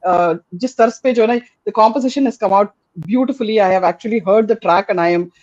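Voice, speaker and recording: A woman's voice: medium pitch, fast talker, dry recording. Lady hosting a chatty podcast, keeps restarting sentences, dry muffled call audio. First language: Tamil. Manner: warm, rambling, with many restarts. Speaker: podcast host. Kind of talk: casual interview chat.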